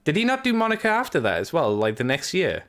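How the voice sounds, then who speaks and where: A man's voice: on the high side, huge pitch swings, fast, a bit bright. A man hosting a casual podcast chat, joking, teasing and swearing freely with his guest. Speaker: podcast host.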